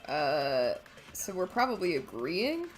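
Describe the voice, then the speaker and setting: A woman's voice: low-pitched, very animated, takes it slowly, faint music behind. A woman streaming a game, chatting casually as she plays. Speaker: streamer playing a visual novel. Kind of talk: casual chat while gaming.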